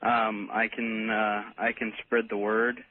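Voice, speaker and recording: Man, radio caller, halting and apologetic, unhurried pace, narrow telephone sound. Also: fairly low pitch, level tone. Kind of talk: casual phone-in conversation. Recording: tinny noisy phone line.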